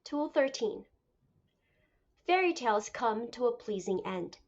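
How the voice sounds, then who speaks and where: High-voiced woman teacher reading aloud. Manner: deliberate, expressive storytelling. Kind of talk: expressive picture-book read-aloud lesson. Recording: dull lo-fi recording.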